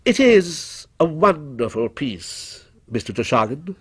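A man's voice: a little high, big pitch swings, takes it slowly, breathy edge, no room sound, clean studio sound, full character voice. A man voicing an earnest, formal salesman in a storybook reading, praising with emphasis. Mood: admiring. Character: earnest dark-browed male salesman endorsing his wares.

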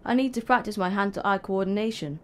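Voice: mid-range voice, brisk, slight background noise, natural voice. A performer playing a cheeky, defiant youngest brother, a small schoolboy, in an audio drama. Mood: defensive.

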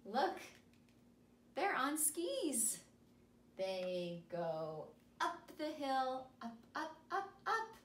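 A young woman reads a picture book to small children, taking it very slowly in a fairly high voice. Her phrasing is simple, emphatic and repetitive. The recording is made in an echoey room. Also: sing-song. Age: young adult. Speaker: school speech therapist. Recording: echoey room with noticeable hiss.

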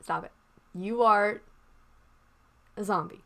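A woman's voice: medium pitch, takes it slowly, creaky, bright, forceful tone, heavily acted. A woman playing a patient but exasperated medic in an improvised zombie roleplay game, talking hesitantly and placatingly. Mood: flustered reassuring.

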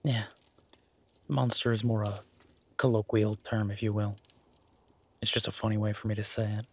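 A man playing an affectionate, laid-back werewolf boyfriend in intimate roleplay audio, gentle and halting, with a husky, breathy voice. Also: fairly low pitch, little pitch movement. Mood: tender, content. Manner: gentle, halting, murmured with long pauses. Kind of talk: intimate roleplay audio, slow soft conversational acting.

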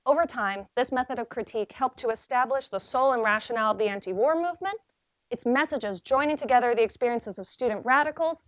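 A young woman in her twenties presenting a graduate thesis talk to an audience in a library seminar room, speaking in a measured, earnest way with pauses. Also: fairly high pitch, no echo.

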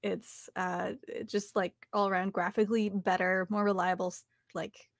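A woman's voice: medium pitch, flat delivery, moderate pace, no echo, studio quality. A young adult woman, chatting casually as an interview guest. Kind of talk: casual chat.

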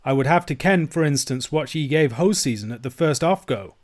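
A bold Highland man with a Scottish accent, put on by a male narrator for an audiobook. He bargains in a blunt, forceful way. His delivery is sly and taunting, with a sneer in it. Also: animated, brisk, lightly acted. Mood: sly bargaining. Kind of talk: audiobook character voice.

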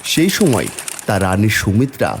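A man's voice: lowish voice, big pitch swings, moderate pace, slight background noise. A man narrating a scripted fairy tale for a cartoon. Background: background music.

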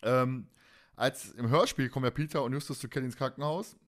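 A man's voice: mid-range voice, fairly quick. A man co-hosting a podcast, recapping a story in casual, rambling colloquial talk that often doubles back on its phrases. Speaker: podcast co-host. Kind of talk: casual podcast chat, recapping an audio drama.

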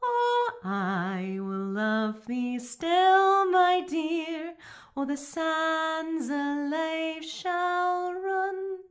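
A woman singing a slow folk love song. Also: very high-pitched, expressive, soft background music.

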